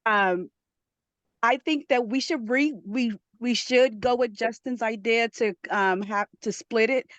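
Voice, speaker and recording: A woman's voice: a little high, measured pace, fairly bright tone, no room sound, dull audio. A woman club president speaking emphatically at an online meeting, pressing her case. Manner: emphatic, advocating. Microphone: video call.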